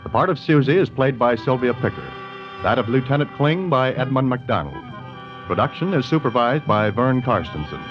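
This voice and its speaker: A man's voice: medium pitch, expressive, moderate pace. An American man working as a radio announcer, reading a scripted announcement in 1940s style. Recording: muffled 1940s radio recording. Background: music.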